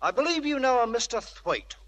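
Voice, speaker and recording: An actor playing a polite, apologetic police inspector, formal and deferential, in old radio drama style. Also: male voice, extremely high pitch, sing-song, brisk, a bit bright, exaggerated voice. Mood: polite, hesitant. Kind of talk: 1940s radio acting.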